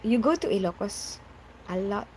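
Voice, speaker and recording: A woman's voice: medium pitch, animated, moderate pace, warm tone, a little hiss or hum, rough recording. A young woman who grew up in the Philippines, chatting casually on a live stream, reading out viewers' names and answering them, often laughing.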